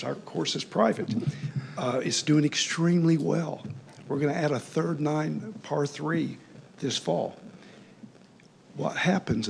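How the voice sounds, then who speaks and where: A man with a strongly gravelly, creaky voice makes a folksy sales pitch at a council meeting. He sounds a little worn, clearing his throat as his voice goes. Faint room noise sits behind him. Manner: confident, folksy, persuasive. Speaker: developer presenting to council. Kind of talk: public meeting presentation.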